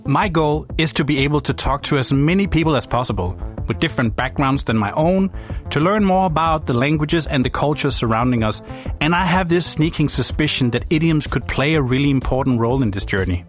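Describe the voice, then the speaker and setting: Danish guy narrating his podcast, thoughtful and a bit playful, normal pitch, soft music under. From Denmark. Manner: reflective, gently playful storytelling. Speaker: podcast host.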